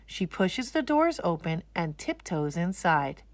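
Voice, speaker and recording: A woman's voice: fairly low pitch, big pitch swings, measured pace, no echo. A woman narrating a children's storybook as a read-aloud.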